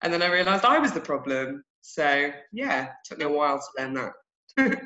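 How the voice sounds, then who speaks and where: A woman's voice: deep voice, very animated, moderate pace, bright, forceful tone, roomy sound, dull audio. A woman with an English accent, a podcast guest telling her personal story in a frank, self-deprecating, reflective way. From England. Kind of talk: candid personal story in an interview.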